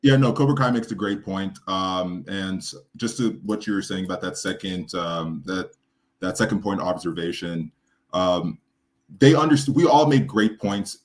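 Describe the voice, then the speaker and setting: Deep-voiced man, halting earnest talk. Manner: halting, full of restarts and "um"s, earnest. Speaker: debate participant reacting to his own debate. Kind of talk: casual live-stream chat.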